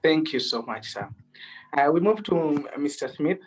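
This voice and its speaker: A man's voice: a little high, lively intonation, a little slow, noisy background. A man hosting an online panel, polite and formal, with many fillers. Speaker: host.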